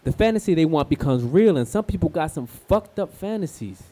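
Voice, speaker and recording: Blunt, streetwise young escort. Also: male voice, fairly high pitch, lively intonation, a little fast, mellow. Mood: candid, wry. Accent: American. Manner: slangy, blunt, confiding. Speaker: radio show guest. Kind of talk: radio play acting, slangy conversational monologue.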